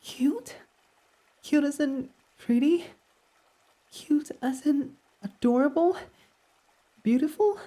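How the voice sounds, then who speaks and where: Man playing a slow, breathy, worshipful fox boy servant. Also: very high-pitched, exaggerated voice. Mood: flustered. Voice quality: soft, breathy. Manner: reverent, self-deprecating, hesitant, breathy half-whispers. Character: devoted, submissive kitsune fox boy servant worshipping his god. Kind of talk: intimate ASMR roleplay acting.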